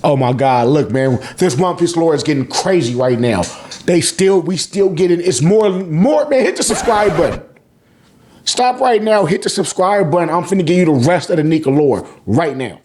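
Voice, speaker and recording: A man with a fairly high voice hosts an animated, casual story recap. He talks in loose, profane slang and acts out reactions as he retells things. Words often come out in repeated bursts before the sentence moves on. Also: moderate pace, a little hiss or hum. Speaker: host of a manga review channel.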